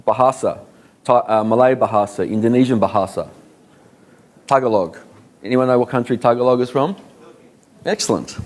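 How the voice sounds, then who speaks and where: A man's voice: mid-range voice, normal speed, long pauses. Australian man giving a conference talk. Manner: conversational, many fillers, rhetorical questions. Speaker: conference speaker.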